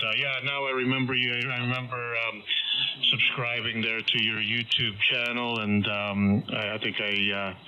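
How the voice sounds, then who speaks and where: A man talking casually as an amateur radio operator, halting between short phrases, over a radio transmission. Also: on the low side, sharp tone. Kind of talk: casual ham radio chat. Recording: muffled, faintly noisy radio transmission.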